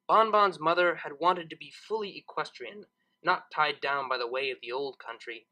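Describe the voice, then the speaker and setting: A man narrating a story in an expressive storybook style. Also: a little high, low-quality recording. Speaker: narrator reading fan fiction, channel host.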